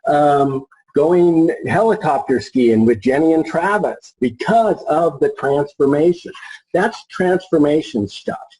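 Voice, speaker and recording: Husky-voiced man explaining informally. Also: on the high side, animated, slow pace, a little mellow, poor audio. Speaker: marketing expert on a panel. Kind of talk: unscripted explanatory conversation.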